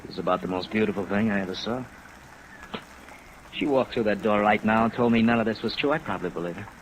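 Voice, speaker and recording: A man's voice: lowish voice, fast talker, lightly acted, low-quality recording. A man acting as a chagrined, middle-aged veteran hotel operator in a 1950s radio drama, hesitant and self-mocking. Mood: wistful, chagrined. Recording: vintage radio recording under rain noise.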